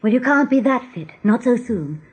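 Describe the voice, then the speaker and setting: A woman's voice: fairly high pitch, expressive, moderate pace, slightly rough. A woman acting a caring but bossy female rabbit in a radio play, fussing and insistent.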